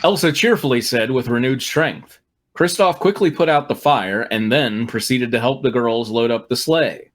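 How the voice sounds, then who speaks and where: A man's voice: mid-range voice, normal speed. A man reading fan fiction aloud as host, narrating dramatically with wry, amused asides. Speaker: reader and host.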